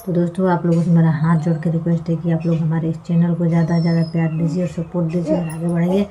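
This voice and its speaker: A woman's voice: fairly low pitch, monotone, fast, dark tone, muffled recording, slight background noise. A woman vlogger giving casual opinion commentary to her viewers in an earnest, pleading manner, repeating points for emphasis. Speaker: video blogger commenting on online couple drama.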